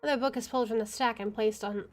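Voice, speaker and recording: A woman's voice: on the high side, steady tone, rapid-fire, faint music behind. Woman reading game narration aloud. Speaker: video game streamer. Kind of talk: reading visual novel narration aloud, with casual asides.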